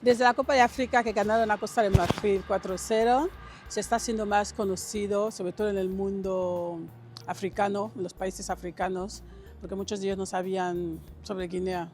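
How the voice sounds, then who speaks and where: A woman's voice: medium pitch, steady tone, moderate pace, darkish tone. A woman speaks as an interviewee, giving an answer for a documentary. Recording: music under the voice.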